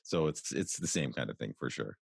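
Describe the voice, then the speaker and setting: A male voice actor chatting in an interview, relaxed and talkative, often restarting his sentences. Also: rumbling low, brisk, vocal fry, breathy edge, dry recording, studio quality. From outside the United States. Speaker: guest voice actor. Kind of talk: casual interview chat.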